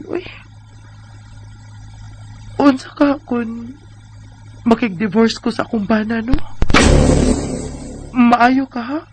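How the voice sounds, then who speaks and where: A woman's voice: a little high, slight background noise. A woman in her thirties with a Bisaya Filipino accent, playing a weary, discontented wife in a plaintive, sighing way for a radio drama. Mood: weary, troubled. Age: 30s. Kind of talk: Bisaya radio drama acting and letter narration.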